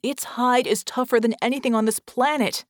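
A woman voicing a blunt, exasperated male action hero in an audiobook, yelling emphatically with sarcasm. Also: fairly high pitch, fairly even intonation, a little fast, no room sound, studio quality, pitched above the performer's own voice, natural voice. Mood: alarmed.

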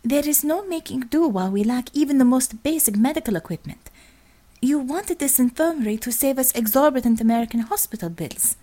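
A woman's voice: high voice, animated, a little fast, mellow, pitched above the performer's own voice. A woman voicing an elegant, stern woman doctor in a storybook, with a precise, scolding, restrained delivery. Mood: exasperated.

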